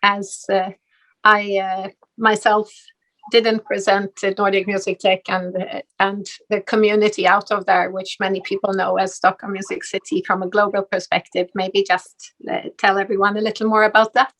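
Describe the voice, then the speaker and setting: A woman moderates a live panel at an event, introducing guests. She hesitates often, filling pauses with "uh". Her pitch sits in a middle range. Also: level tone, a bit bright, poor audio. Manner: hesitant, many fillers. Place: event stage.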